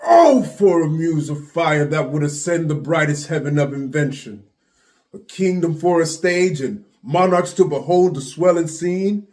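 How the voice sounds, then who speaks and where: A man's voice: on the high side, lively intonation, a little slow. A man playing a grand, impassioned storyteller, declaiming a Shakespearean monologue to an audience. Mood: rousing, exalted. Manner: declamatory, rising from hushed to ringing. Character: Chorus, grand impassioned male storyteller addressing his audience.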